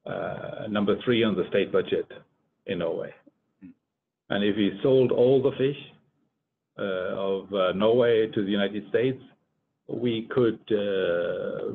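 An older man from Germany gives a research presentation in English as a scientist, halting and deliberate. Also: gravelly. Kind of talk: conference presentation. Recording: muffled phone-like line, rumbling noise.

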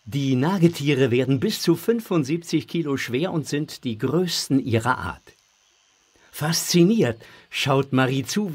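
Male narrator for a kids' audio drama, medium pitch, husky tone. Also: darkish tone.

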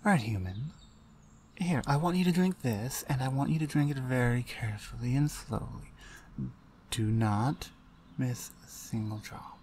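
A woman playing a stern, dominant female orc shaman in a roleplay ASMR audio, speaking in a commanding, deliberate, taunting way. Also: extremely low pitch, dark tone, slight background noise, pitched below the performer's own voice. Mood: stern, impatient.

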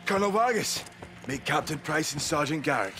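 An actor with a Scottish accent playing a cocky, loyal young special forces sergeant in a game cutscene. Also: male voice, on the high side, animated, fairly quick, pushed and bright, soft background music. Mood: upbeat. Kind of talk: video game cutscene acting.

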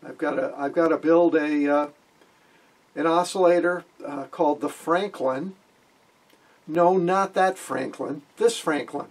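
An older man hosts an informal hobby electronics lesson. His voice is husky and sits a little high for a man. He explains things in a folksy, wry way. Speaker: amateur radio hobbyist, video host. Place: small wood-panelled room indoors.

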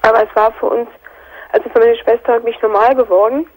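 Hoarse young woman on the phone. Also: a little high, fairly even intonation, quick pace, darkish tone, poor audio. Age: 20s. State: hoarse from a cold. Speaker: caller. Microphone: telephone.

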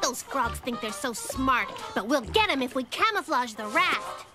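A quick-witted cartoon girl. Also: high voice, lively intonation. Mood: exasperated. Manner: sensible and sharp-tongued. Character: clever bossy little girl. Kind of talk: cartoon voice acting. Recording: music bed under dialogue.

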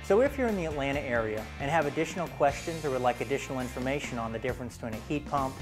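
An American man in his thirties gives a scripted how-it-works presentation at an average pitch. His delivery is plain and instructional, like a company presenter. Music plays behind him. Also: expressive, racing. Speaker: presenter for a home heating and cooling company.

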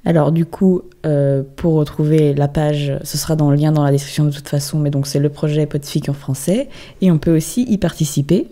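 A woman's voice: low voice, fairly even intonation, a little fast, dark tone. A woman hosting a casual, conversational presentation, asking questions and explaining. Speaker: host of a fanfiction channel.

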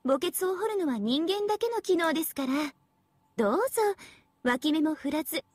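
A young female AI devil girl for an anime game. The voice is very high, sweet and girlish, with a dry studio sound. She is smug and playful, talking down to people with mock politeness. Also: lively intonation, moderate pace, no room sound. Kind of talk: Japanese anime game voice acting.

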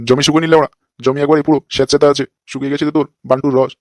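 A man's voice: medium pitch, level tone, rapid-fire, dry recording, studio quality, lightly acted. A man voicing a crude, lecherous fake holy man in a comic cartoon, with a brash, scolding manner. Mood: mocking.

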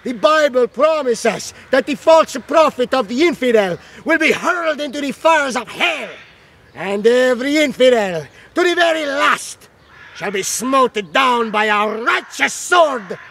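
A zealous medieval cardinal in an audio drama. His voice is strained, gravelly and piercingly bright. He declaims holy war to the troops like scripture. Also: very high-pitched, lively intonation, a little slow, a little hiss or hum, exaggerated voice. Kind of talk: fire-and-brimstone sermon in radio drama.

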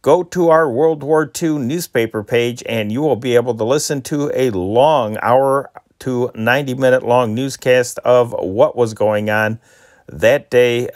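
An American man talks casually as a channel host. His voice is medium in pitch, and he rambles along with halting, unscripted phrasing. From Chicago, United States.

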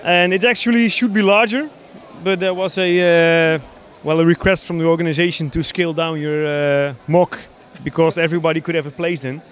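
A man in his thirties from the Netherlands with a Dutch accent, explaining his hobby builds in a casual, halting interview. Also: fairly high pitch, flat delivery. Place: large busy exhibition hall, indoors. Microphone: handheld interview microphone.

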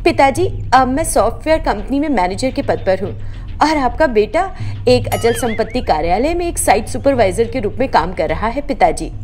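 A woman voicing a polite, dutiful young daughter-in-law in an animated story. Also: on the high side, normal speed, soft background music, lightly acted. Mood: bright, respectful.

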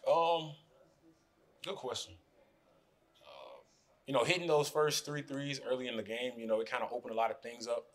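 A man's voice: medium pitch, normal speed, pauses often. A young man in his twenties, a basketball player answering questions at a postgame press conference, speaking loosely with many "you know"s into podium mics. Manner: relaxed, fills with "you know" often. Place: press room in front of a sponsor backdrop. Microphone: podium mics in front of him.

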